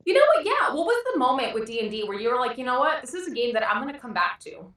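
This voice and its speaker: A woman guest chatting over a video call in a bubbly, animated, giggly way. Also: on the high side, fast talker, roomy sound, far from the mic.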